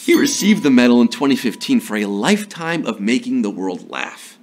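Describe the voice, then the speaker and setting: A man in his thirties hosting a live trivia show from a studio, playful and jokey, a little hoarse from a sore throat. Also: medium pitch, sing-song, darkish tone, faint music behind. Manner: playful, jokey, slips into quick impressions and sung bits. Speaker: trivia game show host. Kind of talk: upbeat live quiz hosting. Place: studio before a green screen.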